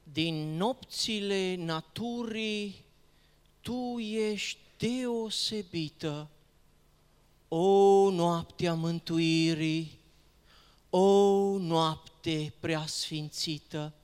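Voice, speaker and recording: Reciter for religious poetry: solemn and declamatory, medium pitch, heard in a long reverberant hall. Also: darkish tone, clean tone. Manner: solemn, declamatory, with rhetorical questions and exclamations. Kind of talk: dramatic recitation of religious verse.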